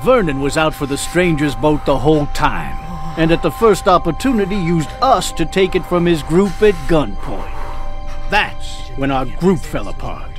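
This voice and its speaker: An actor playing a compassionate, principled survivor in a video game, arguing earnestly. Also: male voice, fairly high pitch, lively intonation, normal speed, over music, close to an everyday voice. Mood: earnest, insistent. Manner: earnest, argumentative. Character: compassionate, principled male survivor pleading for mercy. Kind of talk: dramatic video game acting.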